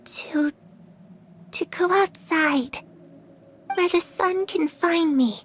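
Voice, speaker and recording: Slow, high-voiced eerie little girl. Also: slight background noise, full character voice. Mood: wistful. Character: eerie, lonely little orphan girl, guarded and wistful. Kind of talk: anime game voice acting.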